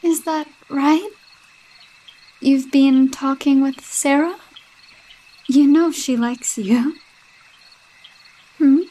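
High slow-talking jealous girlfriend, woman acting it out, coaxing and sugary with a creepy edge, roleplay audio. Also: steady tone, rough recording. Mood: sweetly controlling. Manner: sweet-talking, coaxing, with unsettling laughs and hushed asides. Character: possessive, jealous young girlfriend with a sinister streak.

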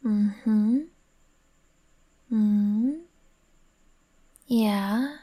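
A woman whispering softly and soothingly, speaking as a comforting partner in a roleplay. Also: mid-range voice, fairly even intonation, extremely slow, rough recording. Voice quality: breathy.

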